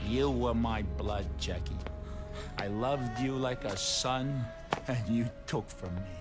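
An actor playing a vengeful middle-aged mob boss, menacing and volatile, in a video game. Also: male voice, mid-range voice, slow, over loud music, lightly acted. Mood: cold menace.

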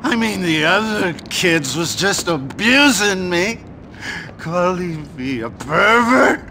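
Drunk down on his luck game santa, slow maudlin rambling, forced bright voice. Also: high-pitched, sing-song, slight background noise, heavily acted. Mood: whiny, aggrieved. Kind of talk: video game cutscene acting.

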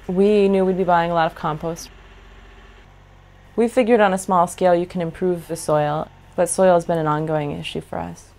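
A woman's voice: on the low side, a little mellow. A woman in her thirties, a farmer with an American accent, earnestly explaining her farm's methods in a documentary interview recorded indoors on a lapel mic. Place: living room with bookshelves, indoors.

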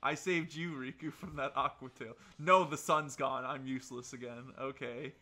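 An excitable, jokey man bantering casually with friends while playing a video game, often breaking into laughter. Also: mid-range voice, moderate pace. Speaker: player in an online gaming group.

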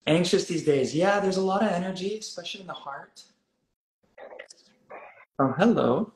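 Calm man guiding a live stream, roomy. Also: high voice, slight background noise. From South Africa, raised in Canada. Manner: calm, gently guiding, slow deliberate phrasing. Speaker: wellness host. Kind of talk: live-stream coaching chat. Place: room indoors.

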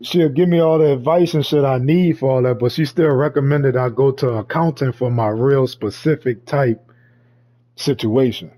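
A man's voice: mid-range voice, moderate pace, warm tone. A man in casual chat, talking in heavy slang with lots of swearing and frequent laughter. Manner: slangy, profane, laughs often.

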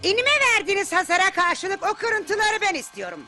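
A woman's voice: extremely high pitch, big pitch swings, fairly quick, pushed and bright, full character voice. A woman voicing a haughty, demanding female insect aunt in a dubbed cartoon. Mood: haughty, suspicious. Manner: imperious, demanding, theatrical. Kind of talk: cartoon dub acting. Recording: music behind the voice.

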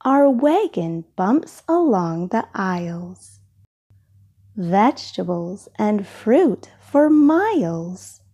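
Very slow, mellow woman reading a storybook. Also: medium pitch, slightly rough. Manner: expressive, deliberate phrasing for young listeners. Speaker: storybook reader. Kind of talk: children's storybook read-aloud.

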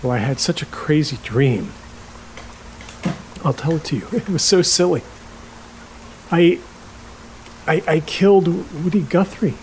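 Actor as a halting, lonely middle-aged man, noisy backdrop. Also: fairly high pitch, a little mellow, close to an everyday voice. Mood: eerily chatty. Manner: halting, repeats himself, oversharing. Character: lonely, awkward, unsettlingly chatty middle-aged male rental host. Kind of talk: naturalistic audio drama acting.

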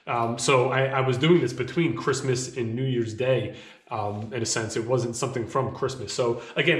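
Man in his thirties, casual vlog talk, slightly hoarse, rambling fillers, echoey small room. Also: mid-range voice. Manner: conversational, rambling with fillers and restarts. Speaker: vlogger. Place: small home office, indoors.

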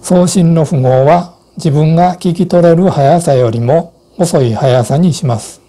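A man in his sixties reading a scripted account and instructions in a measured, formal way. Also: fairly high pitch, warm tone. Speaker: co-host of an amateur radio Morse code channel. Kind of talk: scripted personal narration and instruction.

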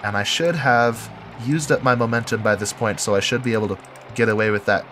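A man's voice: medium pitch, a little fast, fairly bright tone, a little hiss or hum. A man with a head cold and a nasal, stuffy voice gives casual commentary while building in a game, thinking aloud and correcting himself. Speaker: game video creator.

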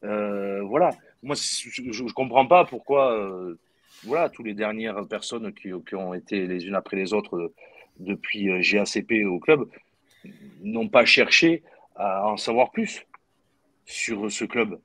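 A middle-aged man speaks over a video call from his home, his low voice stopping and restarting around fillers and repeated words. The sound is dry and close, with no room behind it. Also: measured pace, a bit bright, no room sound. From southwest France. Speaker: guest, former footballer. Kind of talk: casual video-call discussion. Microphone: video call webcam.

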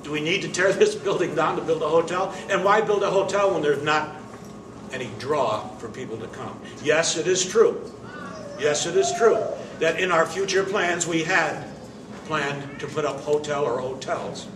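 An older man making an emphatic, indignant statement at a press conference, pausing deliberately between phrases, heard from across an indoor room. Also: a little high. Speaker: managing member of the facility's owners. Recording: roomy, distant, noisy camera audio.

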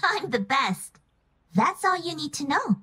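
A high, bright and very lively young woman, cocky and playful like a star gamer in a video game. She teases and brags with cheek. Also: measured pace, exaggerated voice. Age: young adult. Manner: cheeky, bragging, teasing.

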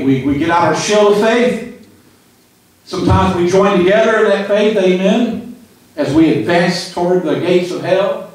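An older man in his sixties gives a plain-spoken sermon with many restarts and repeated words. His voice sits a little high for a man. It is caught a bit off-mic, and the sound echoes strongly through a small church room. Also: a little mellow, distant mic. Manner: folksy, halting, repeats words, asks "Amen?". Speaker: pastor. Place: small church hall, indoors. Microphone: handheld mic.

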